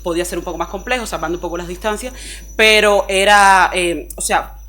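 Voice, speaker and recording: A woman from Cuba with a Cuban Spanish accent, speaking on a forum panel in an expressive, chatty way, often ending phrases with quick tag questions. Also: lowish voice. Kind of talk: panel discussion talk.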